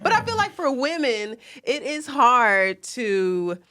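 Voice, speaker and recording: Woman host, lively swooping voice, slightly high, casual and slangy. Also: dry recording, clean studio sound. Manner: expressive, quick to laugh, slangy. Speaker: podcast host. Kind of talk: casual interview chat. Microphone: studio podcast mic.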